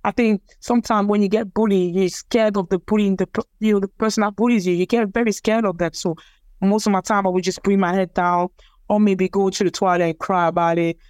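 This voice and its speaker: Soft-spoken young woman, from Cameroon, French native. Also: medium pitch, steady tone, a little fast, clear, resonant tone, dry recording. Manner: hesitant, repeats words, many fillers. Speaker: interviewee, athlete. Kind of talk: casual personal storytelling.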